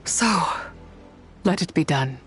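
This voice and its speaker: A woman's voice: deep voice, animated, slow pace. An actress voicing a proud, wrathful and sorrowful female spirit in a video game, speaking in solemn, grand, archaic phrases. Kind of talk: dramatic scripted video game voice acting. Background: music.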